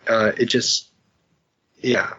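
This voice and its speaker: A man hosting a podcast in casual chat, joking about himself with quick asides. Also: medium pitch, flat delivery, a bit bright, breathy edge. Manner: chatty, self-mocking, quick asides. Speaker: podcast host. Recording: slightly dull lo-fi podcast audio.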